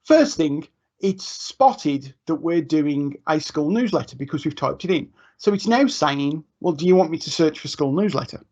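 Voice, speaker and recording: A fairly high-voiced man talks teachers through a software demo in a loose, chatty way, full of ums and self-corrections. The sound is dull and lo-fi, like a live online stream. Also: lively intonation, normal speed. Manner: chatty, informal, many ums and restarts. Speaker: education technology trainer. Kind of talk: live screen-share tutorial. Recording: muffled lo-fi online stream audio.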